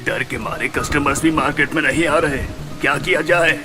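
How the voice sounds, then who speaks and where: A man's voice: on the high side, fairly quick, natural voice. Anxious townsman in a horror cartoon, male actor. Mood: worried. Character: frightened townsmen: victim, shopkeeper, anxious doctor. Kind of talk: animated horror story acting. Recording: music behind the voice.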